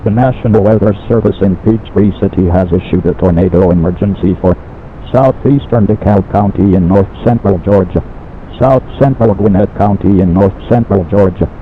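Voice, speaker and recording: A mechanical-sounding man reading a scripted emergency weather warning in a formal, clipped way, as an alert announcer. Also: on the low side. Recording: muffled phone-like radio broadcast with noise.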